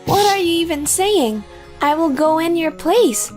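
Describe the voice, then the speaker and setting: Woman acting high-voiced, earnest young secretary. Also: animated, a little slow, a little mellow, faint music behind. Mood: playful, encouraging. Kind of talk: scripted manga dub acting.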